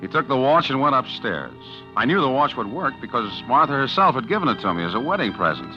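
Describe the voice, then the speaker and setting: An actor playing a bitter, scheming estranged husband in a 1940s radio mystery, speaking in a halting, brooding way. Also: male voice, medium pitch, big pitch swings, natural voice. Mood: brooding suspense. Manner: hesitant, brooding, with halting repeated words. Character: bitter, scheming estranged husband narrating his own tale. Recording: dull 1940s radio recording with music.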